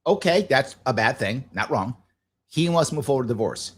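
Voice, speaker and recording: A man's voice: medium pitch, animated, brisk, slightly rough. A man hosting a live talk show, giving blunt, argumentative commentary and repeating phrases emphatically to drive points home.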